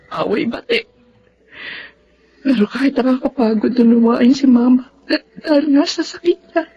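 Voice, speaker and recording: An actress playing a lonely, grieving daughter in a radio drama, tearful, halting and pleading. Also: female voice, on the high side, a little mellow, slightly rough. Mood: sorrowful. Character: grieving lonely daughter who cared for her sick mother. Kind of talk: Tagalog radio drama acting. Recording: dull lo-fi radio drama sound.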